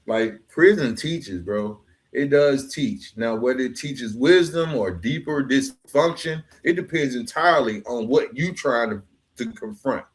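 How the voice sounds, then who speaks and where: A male podcast host with a medium-pitched voice in a long-form interview. He talks in a reflective way, moving between conversational street slang and rhetorical, motivational lines. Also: lively intonation, a little slow.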